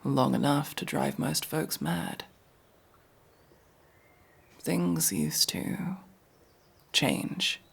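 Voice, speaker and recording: A man playing a guarded, world-weary elf mercenary, centuries old but young-looking, in an intimate, brooding ASMR fantasy roleplay with a breathy, gravelly, halting delivery. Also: fairly high pitch, heavily acted. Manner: brooding, halting, often dropping to a whisper.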